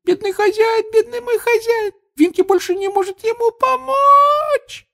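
A tiny female house-elf from a children's fantasy storybook. Her voice is extremely high, shrill and squeaky. She wails and scolds in broken grammar, fiercely loyal and easily indignant. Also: a little slow, creaky, pushed and bright, exaggerated voice. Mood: tearful wailing.

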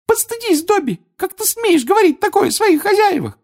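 A storybook reader voicing a tearful, indignant little female house-elf, very shrill and squeaky, wailing and scolding in broken grammar. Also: sing-song, fairly quick, darkish tone.

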